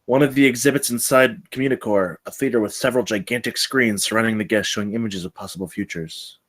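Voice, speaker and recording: A man's voice: fairly low pitch, fast talker, fairly bright tone. A man narrating stage directions from a screenplay at a table read.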